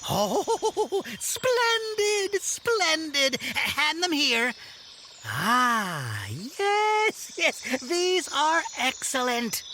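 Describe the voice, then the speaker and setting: A woman's voice: high voice, sing-song, very slow, bright, forceful tone, a little hiss or hum, full character voice. A woman playing a whimsical, mischievous yet kindly elf in an audio drama, giggly and theatrical, with playful rhymes and grand old-fashioned phrasing. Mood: delighted, kindly.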